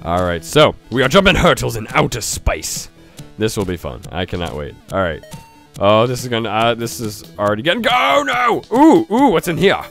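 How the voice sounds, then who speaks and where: A young man with a cold and a stuffy voice, giving excited let's-play commentary on a game and shouting at it now and then. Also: mid-range voice, moderate pace, gravelly, faint music behind. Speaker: gaming YouTuber.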